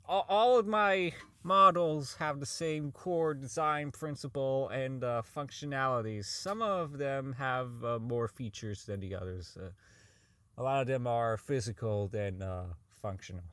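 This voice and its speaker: A man's voice: medium pitch, a little slow, fairly bright tone, dry recording, close to an everyday voice. A man playing a socially inept, unravelling robot designer in an audio drama log, rambling, stammering, swearing and repeating himself. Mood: scattered, muttering.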